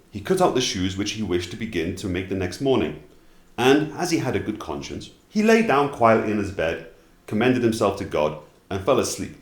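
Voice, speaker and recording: A man narrating fairy tales as an expressive storyteller, at times dropping into casual asides. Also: on the low side, reverberant.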